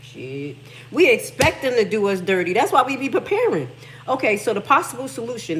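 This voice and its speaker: A woman's voice: medium pitch, slight background noise, poor audio. A middle-aged Haitian American woman hosting a livestream, talking casually and emphatically. She reads text aloud, comments on it, and swears freely. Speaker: livestream host.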